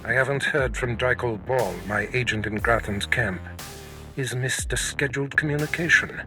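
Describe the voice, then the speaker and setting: A man's voice: mid-range voice, normal speed, over music, natural voice. A man voicing a proud, sinister Sith lord in a video game, imperious and grandiose with a menacing manner. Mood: calculating, commanding.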